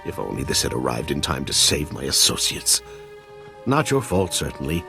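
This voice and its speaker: An actor playing an earnest, socially awkward scientist with stiff, bookish phrasing in a video game. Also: male voice, extremely low pitch, big pitch swings, moderate pace, creaky, over music, full character voice. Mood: wistful, preoccupied.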